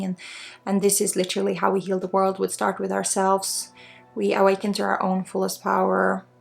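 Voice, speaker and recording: A Swedish-accented woman speaks as a spiritual healer in an ASMR style. Her mid-pitched voice has plenty of fry, and she guides softly and reassuringly over a clear bed of music. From Sweden. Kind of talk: ASMR guided energy healing.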